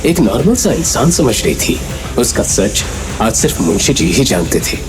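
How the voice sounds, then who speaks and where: A man's voice: mid-range voice, big pitch swings, normal speed, music in the background. A man narrating a scripted audio drama story in a dramatic storytelling style.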